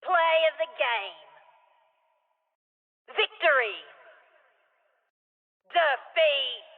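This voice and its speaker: A woman's voice: high-pitched, expressive, very slow, vocal fry, heavily acted. A woman voicing a curt, commanding announcer in a video game, giving short announcements through muffled, heavy reverb. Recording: long reverb, muffled narrow band.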